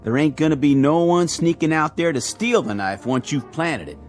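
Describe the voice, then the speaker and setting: An American actor playing a goading saloon regular with folksy, needling talk in an old television western. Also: male voice, a little high, expressive, normal speed, close to an everyday voice. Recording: vintage TV soundtrack, faint music underneath.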